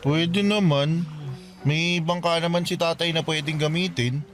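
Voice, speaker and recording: A man's voice: a little high, fairly even intonation, unhurried, natural voice. A man playing Makoy, a hesitant young man. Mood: guarded. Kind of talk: radio drama acting. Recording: music bed under dialogue.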